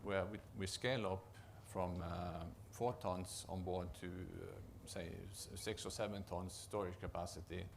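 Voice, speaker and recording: A man with a Norwegian accent giving a conference presentation as a project manager, often halting to restart and repeat words. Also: deep voice, steady tone, vocal fry, a little hiss or hum. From Norway.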